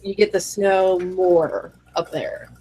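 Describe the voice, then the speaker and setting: A woman co-hosting a casual group chat, sick, her voice deeper and more gravelly than usual, acting out what other people say. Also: slow pace, low-quality recording. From Pacific Northwest, US. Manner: animated, acts out quoted customers and family. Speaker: podcast co-host.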